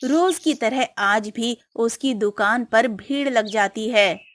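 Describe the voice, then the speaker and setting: A woman's voice: on the high side, takes it slowly. A woman narrator reading a scripted cartoon moral story. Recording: music behind.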